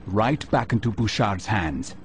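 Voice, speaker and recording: An actor playing a calculating, determined assassin in a video game, with a dry, controlled manner. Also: male voice, lowish voice, lively intonation, unhurried, faint music behind. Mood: determined, businesslike. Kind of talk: video game cutscene acting.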